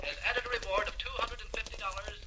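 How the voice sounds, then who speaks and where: An actor playing a brisk, formal 1940s news announcer, heard through a radio set. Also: male voice, high voice, steady tone, crowd noise. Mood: brisk, official. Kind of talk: 1940s radio newscast acting. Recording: noisy, thin vintage radio recording.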